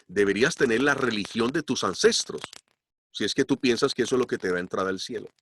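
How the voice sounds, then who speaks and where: A man's voice: medium pitch, a bit bright, breathy edge. Emphatic male pastor preaching. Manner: emphatic, slows down to stress points. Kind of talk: sermon. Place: church.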